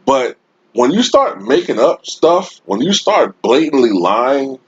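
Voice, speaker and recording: A man with a deep voice giving an emphatic, informal opinion rant to his viewers as a sports YouTuber, recorded on a desktop USB microphone. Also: very animated, husky, dry recording, highs cut off. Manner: emphatic, informal, drawn-out delivery with frequent rhetorical tags. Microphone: Blue Snowball-type desktop USB microphone.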